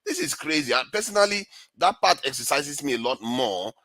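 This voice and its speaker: A Nigerian man on a panel talk, speaking forcefully and with a mocking edge. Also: on the high side, sing-song, brisk, dry recording. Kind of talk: heated panel discussion.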